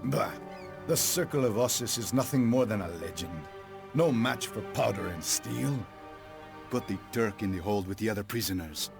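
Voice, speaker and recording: An actor playing an arrogant, greedy Spanish conquistador in a game cutscene, dismissive and boastful. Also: male voice, on the low side, animated, normal speed, gravelly, music in the background. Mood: scornful. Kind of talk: video game cutscene acting.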